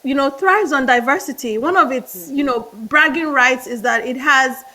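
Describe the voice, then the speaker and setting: Bright high-voiced Nigerian woman. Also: normal speed, poor audio. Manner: animated, laughs often, restarts phrases. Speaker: podcast guest. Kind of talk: casual interview storytelling.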